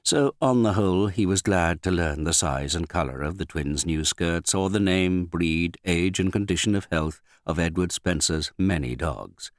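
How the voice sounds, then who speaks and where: A man narrating a literary novel as an audiobook reader, with a measured, understated delivery and finely modulated voice. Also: low voice, no room sound, clean studio sound.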